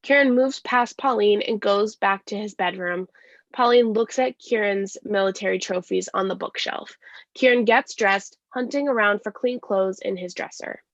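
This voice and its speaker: A woman's voice: mid-range voice, normal speed. A woman reading scripted stage directions as narrator of a play, in a dull lo-fi recording.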